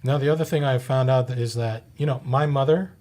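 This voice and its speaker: A man's voice: medium pitch, fairly even intonation, brisk, darkish tone. A man hosting a casual podcast, asking questions in a blunt, joking way and swearing freely. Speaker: podcast host.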